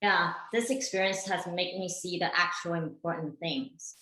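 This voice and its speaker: A woman's voice: on the low side, steady tone, moderate pace, sharp tone, slight background noise. A woman playing an earnest, reflective woman in a conversational documentary theater monologue, recorded in a roomy space.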